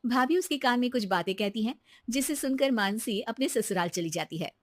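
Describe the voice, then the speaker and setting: A woman's voice: on the high side, lively intonation, brisk, no echo, studio quality. A woman narrator reading a scripted bedtime moral story.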